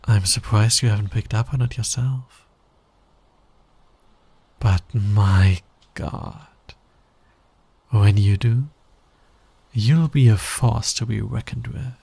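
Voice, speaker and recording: A man playing a sly, teasing, dominant fantasy villain in an intimate ASMR roleplay, breathy and husky, often near a whisper. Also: fairly low pitch, dark tone, dry recording, heavily acted. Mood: flirtatious confession. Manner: slow, teasing, deliberate delivery. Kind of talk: intimate ASMR roleplay, romantic audio drama.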